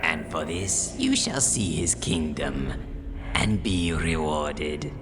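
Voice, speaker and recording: A man playing a sinister, manipulative villain in an audio drama, gloating in measured, portentous phrases. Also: very deep voice, very animated, noisy background, heavily acted. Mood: sinister satisfaction. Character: scheming sinister villain, manipulative servant of a dark lord. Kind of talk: theatrical audio drama acting.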